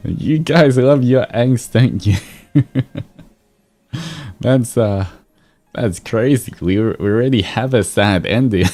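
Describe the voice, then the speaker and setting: A man hosting a livestream, moving between reading fan fiction and chatting casually with viewers. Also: mid-range voice. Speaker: VTuber streamer host. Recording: soft music behind. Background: background music.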